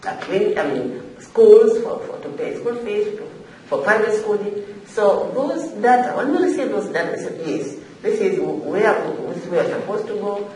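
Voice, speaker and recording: Echoey, distant Tanzanian woman, halting and emphatic. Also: medium pitch, animated, a little mellow. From Zanzibar, Tanzania. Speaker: forum panelist, African regional official. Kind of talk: semi-formal extemporaneous panel remarks. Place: echoey indoor forum room. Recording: echoey room, distant mic, faint noise.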